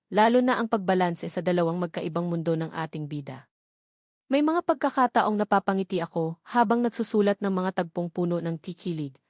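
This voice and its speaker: Soft-spoken woman reading a love story. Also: medium pitch, dry recording, clean studio sound. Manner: soft, heartfelt, measured reading. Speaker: storyteller and author. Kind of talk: scripted story narration.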